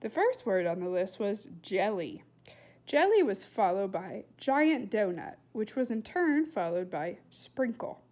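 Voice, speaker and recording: A woman reading a storybook aloud as narrator in an expressive style. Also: mid-range voice, a little slow. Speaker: storybook reader.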